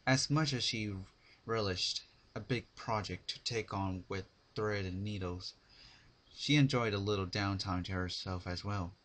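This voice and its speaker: Male narrator, low pitch, very slow, bright forceful tone, dramatic reading, halting phrases. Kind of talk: dramatic scripted story narration.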